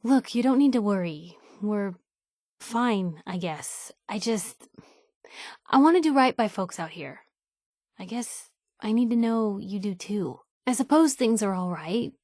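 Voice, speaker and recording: A woman's voice: mid-range voice, darkish tone, no echo, studio quality. An actress playing a spirited, nosy young woman reporter in a video game, loyal and outspoken, talking in a wry, colloquial way. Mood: guarded, hesitant. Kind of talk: video game companion dialogue.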